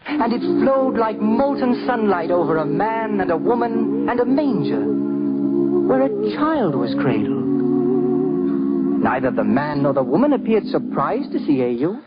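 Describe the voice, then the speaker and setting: Theatrical male radio narrator, animated high pitch. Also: unhurried. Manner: theatrical, solemn storytelling. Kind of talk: dramatic scripted narration, old-time radio. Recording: muffled 1950s radio recording. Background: prominent music.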